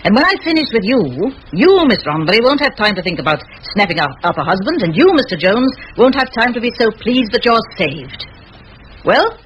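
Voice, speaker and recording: An actress playing a determined, bossy middle-aged spinster schoolteacher in an old radio play, forthright and given to rhetoric. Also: female voice, mid-range voice, big pitch swings, fairly quick, highs cut off, a little hiss or hum, natural voice. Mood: commanding, determined.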